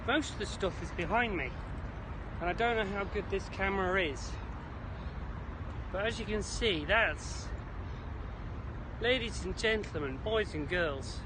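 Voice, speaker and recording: A man's voice: high-pitched, lively intonation, measured pace, pauses often. A British man giving chirpy showman patter to viewers in a casual walking vlog, recorded outdoors on a handheld camera. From Isle of Man, British. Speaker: vlogger. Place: outdoors by a city creek. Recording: noisy outdoor city ambience.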